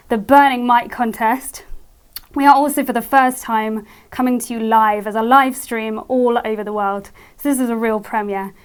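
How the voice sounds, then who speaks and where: Female event host, fairly high, smooth resonant voice, live presenting style.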